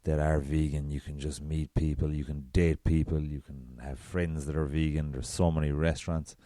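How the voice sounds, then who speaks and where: An Irish man with a very deep voice hosting a solo podcast, chatty and self-deprecating. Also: little pitch movement, moderate pace, warm tone, dry recording, clean studio sound. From south of Ireland. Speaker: podcast host.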